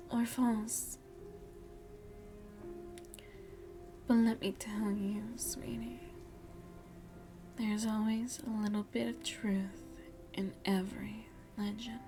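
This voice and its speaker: Slow, whispery seductive vampire woman voiced by an actress. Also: on the high side. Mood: teasing, coaxing. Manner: hushed, breathy, deliberate, coaxing delivery. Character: seductive, motherly female vampire, teasing and quietly menacing. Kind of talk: ASMR roleplay. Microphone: close microphone.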